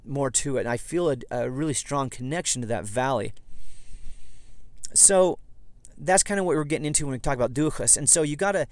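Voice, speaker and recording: A man with a slightly froggy, hoarse voice hosts a casual podcast, rambling and correcting himself as he goes. His pitch sits in the middle range. He records inside a vehicle, and the sound is close and dry. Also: no echo. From southeast Idaho, USA. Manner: rambling, self-correcting, conversational. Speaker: podcast host. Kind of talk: casual history podcast monologue.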